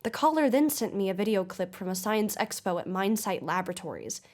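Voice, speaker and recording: A woman's voice: mid-range voice, animated, a little fast, a little mellow, close to an everyday voice. A woman playing a probing investigative mystery show host in an audio drama, speaking in a polished, formal, inquisitive manner. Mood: serious reporting. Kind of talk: audio-drama broadcast interview and narration.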